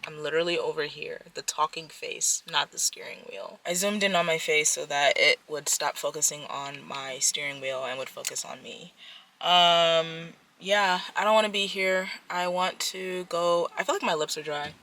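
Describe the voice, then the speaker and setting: A woman's voice: deep voice, moderate pace, bright, forceful tone. A young woman from the Washington DC area, chatting and rambling to her camera from inside her parked car. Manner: chatty, rambling, self-mocking. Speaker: vlogger. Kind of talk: casual talking-to-camera vlog. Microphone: camera mic on a vlog camera.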